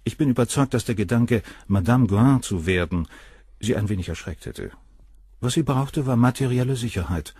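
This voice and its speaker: An actor playing a cynical doctor under questioning in a radio play, dismissive and clinical in manner. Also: male voice, medium pitch, lively intonation, normal speed, darkish tone, slightly rough, no echo, clean studio sound. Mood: cold. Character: cold cynical male doctor, middle-aged suspect.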